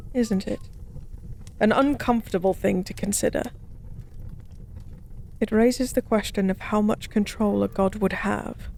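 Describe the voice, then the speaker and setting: A woman voicing a lonely, drunk fallen goddess in a soft-spoken audio roleplay, musing and rambling with weary melancholy. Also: medium pitch, lightly acted. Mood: brooding, musing.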